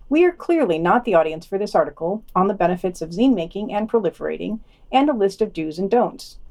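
A woman's voice: medium pitch, warm tone. A woman reading scripted podcast news and adding her own commentary.

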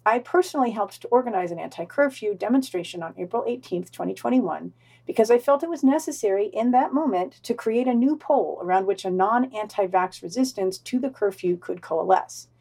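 A woman's voice: medium pitch, lively intonation, fairly quick, creaky, dark tone, slightly rough. Wry woman reading a news roundup. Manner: wry, dry asides. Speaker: podcast co-host reading news. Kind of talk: casual news roundup with read-out quotes.

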